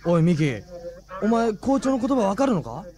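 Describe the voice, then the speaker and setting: An actor playing a level-headed, reassuring teenage schoolboy hero in a radio drama. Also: male voice, high voice, sing-song, normal speed, darkish tone. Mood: concerned, steady.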